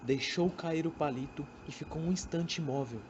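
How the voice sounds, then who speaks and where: A woman's voice: low-pitched, normal speed. Woman narrating, slightly muffled recording. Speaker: narrator of a student radio drama. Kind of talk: scripted dramatic story narration. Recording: dull amateur recording with faint noise.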